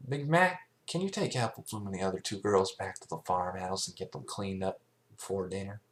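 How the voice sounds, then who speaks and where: A man's voice: low-pitched, fairly quick, natural voice. A man voicing a remorseful, earnest country farm mare pony in an amateur cartoon fan fiction reading. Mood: asking.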